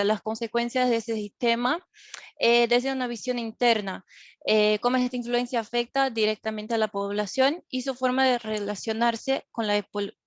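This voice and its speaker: A woman's voice: fairly high pitch, no room sound. A woman speaking Spanish as a second language, giving a careful, slightly hesitant class presentation over a video call. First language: not Spanish. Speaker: student presenter. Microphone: video call microphone. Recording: dull lo-fi video-call audio.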